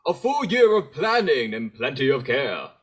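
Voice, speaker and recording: A man playing Santa Claus as a theatrical storybook narrator, speaking in rhyme with a husky voice. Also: medium pitch, sing-song, a little slow. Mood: storybook, grave.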